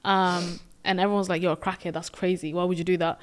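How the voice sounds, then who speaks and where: A young woman with a British accent tells stories in easy podcast chat. She talks in an animated way and breaks into laughter often. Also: lowish voice, fast. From Sweden. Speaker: podcast co-host. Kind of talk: casual chat.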